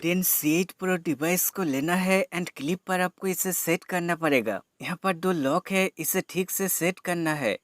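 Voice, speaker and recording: A man's voice: high-pitched, unhurried, hardly any pauses, a bit bright, no room sound, faint music behind. A man giving a scripted product demo voice-over as a reviewer, explaining plainly step by step and mixing in English terms.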